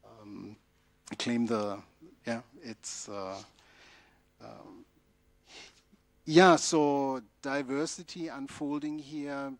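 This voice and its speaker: A man speaking unscripted in an academic discussion in a conference hall, halting as he thinks aloud. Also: medium pitch, gravelly.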